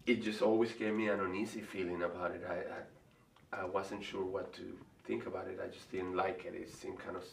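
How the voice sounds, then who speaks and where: A middle-aged man with a Venezuelan Spanish accent, answering interview questions in a thoughtful, hesitant way with many pauses and restarts. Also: deep voice, little pitch movement, vocal fry. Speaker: artist and college faculty member. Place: room in front of a large painting. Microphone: far camera. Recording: echoey room from far off, faint hiss.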